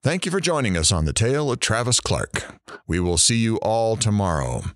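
A man's voice: lowish voice, very animated, moderate pace, fairly bright tone, dry recording, studio quality. A man playing a teasing, curious radio talk show host in an audio drama. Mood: upbeat.

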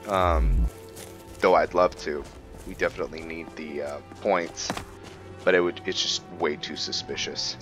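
A man's voice: rumbling low, fairly even intonation, measured pace. A man giving casual roleplay commentary on a video game, rambling and thinking aloud as he plays. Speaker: gaming video host. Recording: dull lo-fi mic under music. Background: game music.

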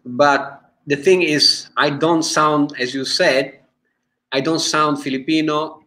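A man in his forties with an Italian accent talks slowly over a video call from a room at home. His pitch sits a little high, and he halts often, filling gaps with "uh" and restarting phrases as he searches for the words. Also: steady tone, sharp tone. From Italy. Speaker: guest filmmaker. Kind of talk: casual interview chat. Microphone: video call webcam.

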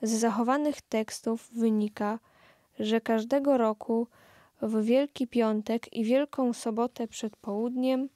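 A woman's voice: on the high side, steady tone, measured pace, dark tone, dry recording, studio quality. A young woman doing a scripted reading in an audio play. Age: young adult.

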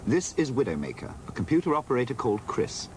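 A man's voice: medium pitch, very animated, fast, darkish tone, a little hiss or hum. A man reading scripted documentary narration as a television reporter.

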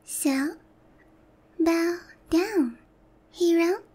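A very high, girlish-pitched demon queen, acted by a woman for roleplay ASMR. She speaks slowly and theatrically, often slipping into breathy near-whispers. Her haughty, teasing pride covers a lonely, easily flustered heart. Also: mellow, full character voice. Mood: haughty, commanding.

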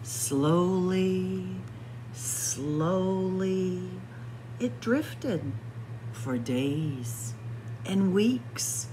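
A woman reading a picture book aloud in a dramatic, suspenseful story-time style, sometimes hushed for emphasis. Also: fairly low pitch, drawn-out words, slight background noise, poor audio. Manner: suspenseful, expressive, drops to hushed whispers for emphasis. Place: indoor library room with bookshelves and windows.

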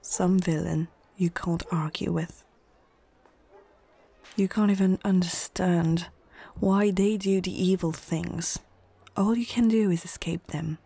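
A woman playing a stern, proud female orc chieftain in a whispered ASMR fantasy roleplay, speaking in short, measured phrases with a husky, breathy voice. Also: on the low side, fairly even intonation, mellow, no room sound, full character voice. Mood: grim, threatening.

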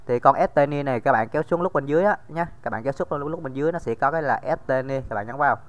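Smooth-voiced man walking through a screen tutorial. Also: medium pitch, rapid-fire. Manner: casual, many fillers, addresses viewers directly. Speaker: crypto tutorial host. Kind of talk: screen-recorded tutorial walkthrough.

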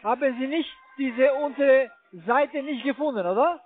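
Very high-pitched male phone agent. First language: not German. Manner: halting, insistent, polite. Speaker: call center agent. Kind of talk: phone call giving computer instructions. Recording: tinny, band-limited phone line.

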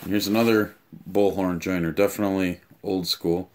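A man in his thirties giving a casual, offhand unboxing and review, explaining things haltingly in a room at home. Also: sits low, steady tone, noisy background. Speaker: tool reviewer and vlogger. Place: small home room, indoors.

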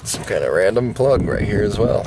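Man from the southern US, low voice, casual car vlogger, offhand, thinking aloud, noisy handheld recording. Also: expressive, normal speed. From southern United States. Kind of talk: casual rambling chat while working on a car. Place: outdoors at an open car trunk. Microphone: handheld phone or camera mic.

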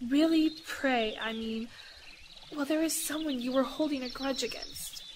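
A woman's voice: sits high, normal speed, slight background noise, lightly acted. A woman playing a devout, humble lady missionary in an audio drama, earnest and formal in her phrasing.

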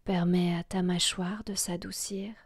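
A woman guiding a relaxation meditation in a soft, breathy, half-whispered voice, hushed and soothing, with long pauses. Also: fairly low pitch, flat delivery, no echo. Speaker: yoga nidra guide.

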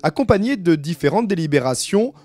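Lively male news narrator. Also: sits high, a little fast, dry recording. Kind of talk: scripted narration.

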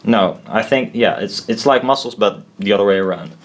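A man with a fairly low voice gives an informal hands-on explanation, thinking aloud as he goes. He is halting and unsure of himself, trailing off and correcting his wording, with a blunt, casual turn of phrase. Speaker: maker explaining a DIY build. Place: indoors at a desk.

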